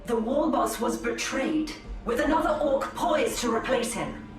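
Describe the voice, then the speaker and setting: An actress playing a dutiful, uneasy alien seer in a video game, speaking in a formal, measured way. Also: female voice, fairly high pitch, animated, far from the mic. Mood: grave, uneasy. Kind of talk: scripted video game voice acting. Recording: echoey, set back from mic, music behind.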